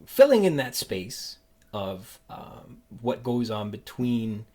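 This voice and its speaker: A man hosting a podcast interview in a casual, halting, rambling way with a playful, joking streak. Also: medium pitch, sing-song, mellow. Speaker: podcast host interviewing a guest.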